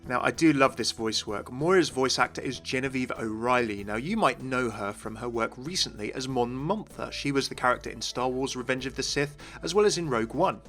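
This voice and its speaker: A man's voice: medium pitch, a little fast, few pauses, a bit bright. A man hosting a scripted game lore explainer, addressing viewers directly and with enthusiasm. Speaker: video host, game lore commentator.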